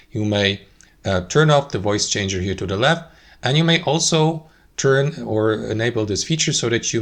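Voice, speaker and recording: A man presenting an informal instructional software walkthrough, explaining things step by step to his listeners. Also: lowish voice. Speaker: tutorial presenter.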